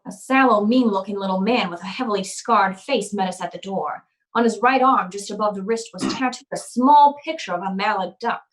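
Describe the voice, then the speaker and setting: A woman's voice: medium pitch, fairly quick, close to an everyday voice, low-quality recording. A woman playing a hardboiled, unflappable private eye in an old-time radio noir drama, with a tough, businesslike delivery. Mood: wry, steady narration. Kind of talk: old-time radio noir acting and first-person narration.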